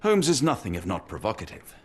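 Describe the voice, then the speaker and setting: A man's voice: fairly low pitch, wildly swooping pitch, fast, slightly rough, heavily acted. A man with a British accent playing an earnest, formal doctor and loyal companion in a video game. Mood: friendly. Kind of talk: scripted video game cutscene acting.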